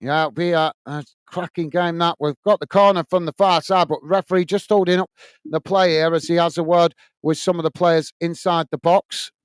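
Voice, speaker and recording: A man's voice: fairly high pitch, steady tone, moderate pace, clean tone, dry recording. A man from Bolton with a Lancashire accent hosting a live football watch-along, calling the play and chatting to viewers, often calling them mate between uh fillers. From Bolton, north of England. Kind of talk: live match commentary and chat reading. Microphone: earphones and desk microphone.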